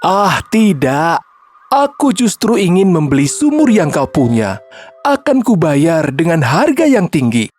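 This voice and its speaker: A man voicing an eager, generous, persuasive buyer in an animated children's story. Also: on the high side, unhurried, faint music behind. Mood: earnest. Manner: earnest, persuasive. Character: generous, eager, good-hearted male buyer.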